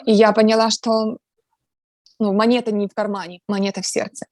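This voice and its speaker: A woman from Ukraine, a guest in a casual interview, speaking earnestly and reflectively with many pauses and filler sounds. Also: mid-range voice, little pitch movement, a little mellow, no room sound. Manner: earnest, talks about faith.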